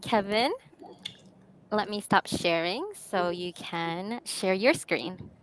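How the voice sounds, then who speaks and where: A woman's voice: medium pitch, slow pace, fairly bright tone, a little hiss or hum. A woman hosting an online user group meeting, reading upbeat announcements before handing over to the speaker. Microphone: video call.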